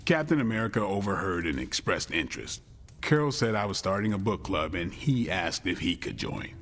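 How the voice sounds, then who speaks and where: A man playing a gruff, guarded, bookish vampire-hunter warrior with dry wit, in video game cutscene dialogue. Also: sits low, sing-song, a little fast, fairly bright tone, a little hiss or hum. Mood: sheepish, defensive. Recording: long reverb, music underneath.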